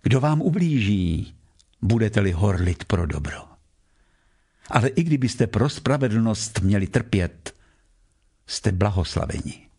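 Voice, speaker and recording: A man reads scripture solemnly from a script, with measured, deliberate phrasing, as an audio Bible reader. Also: on the low side, lively intonation, dark tone, breathy edge. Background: background music.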